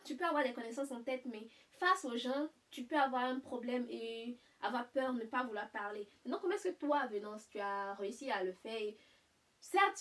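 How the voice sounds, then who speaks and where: A young woman hosting a casual interview, asking probing follow-up questions whose pitch climbs as she presses. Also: recorded from a distance. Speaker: host.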